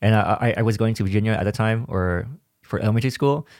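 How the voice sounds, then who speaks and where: A man from Vietnam hosting a podcast, asking questions in a halting way and often repeating words. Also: on the low side, darkish tone, dry recording, studio quality. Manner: stammering, repeats words while thinking. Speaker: podcast host. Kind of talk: conversational interview.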